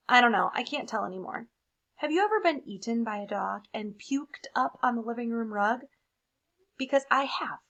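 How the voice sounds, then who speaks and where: A woman's voice: on the high side, bright, forceful tone, full character voice. A woman voicing a battered, bitter and confused crayon with an aggrieved, emphatic storybook delivery. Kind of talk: expressive picture-book read-aloud.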